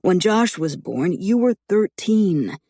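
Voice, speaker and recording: A woman voicing a calm, teasing man in his late twenties who talks in a measured, reasoning way. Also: high-pitched, gravelly, dry recording, clean studio sound, heavily acted. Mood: earnest. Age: 20s.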